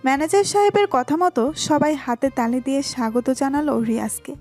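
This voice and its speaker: A woman's voice: high voice, brisk, darkish tone, soft background music. A woman narrating a scripted romantic audio story as its storyteller.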